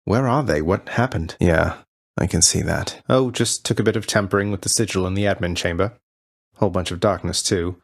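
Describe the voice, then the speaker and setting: A man's voice: low voice, brisk, gravelly, no echo, clean studio sound. A man playing a young, rebellious prince of the underworld in a video game, wry, understated and casually courteous. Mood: curious, concerned. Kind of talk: video game character voice lines.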